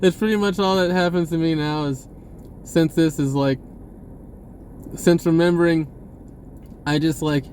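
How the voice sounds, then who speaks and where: A man talking casually and off the cuff to viewers, halting and often repeating his words. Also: on the high side, fairly even intonation, a little mellow, slight background noise. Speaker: spiritual video blogger. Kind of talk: casual unscripted monologue to viewers.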